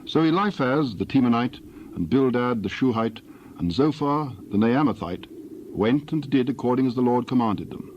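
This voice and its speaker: A man's voice: medium pitch, expressive, moderate pace. Male scripture narrator, slightly muffled recording. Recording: dull lo-fi, faint background noise.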